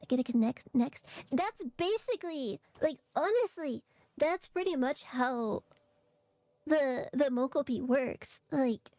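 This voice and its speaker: A young woman streamer chatting casually and rambling with fillers, her voice a little hoarse and raspy from allergies. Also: sits high, animated, unhurried, darkish tone, no room sound. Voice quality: raspy, phlegmy throat. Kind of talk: casual chat to stream viewers.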